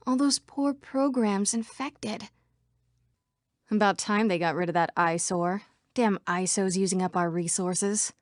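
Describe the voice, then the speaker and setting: A woman's voice: mid-range voice, dry recording, clean studio sound, close to an everyday voice. An actress voicing female computer program citizens in a scripted video game. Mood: fearful, despairing, then defiant, blunt. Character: female computer program citizens, by turns frightened, defiant or official.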